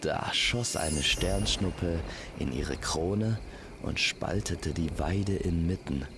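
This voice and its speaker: A man telling a scripted fantasy story as an immersive campfire narrator, speaking gently and poetically to the listener. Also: low-pitched, background noise. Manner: slow, gentle, poetic delivery. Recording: constant night insect and nature ambience. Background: crickets and forest night sounds.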